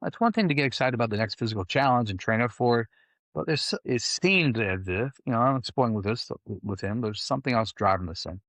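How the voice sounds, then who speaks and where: A man's voice: medium pitch, animated, fast, fairly bright tone, dry recording. A man coaching clients, talking in a loose, rambling way with many fillers. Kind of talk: casual conversation.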